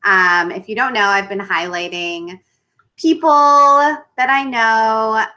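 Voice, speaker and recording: A woman's voice: fairly high pitch, fairly even intonation, takes it slowly, pushed and bright. A woman hosting a casual interview, friendly and enthusiastic as she introduces and questions her guest. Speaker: host.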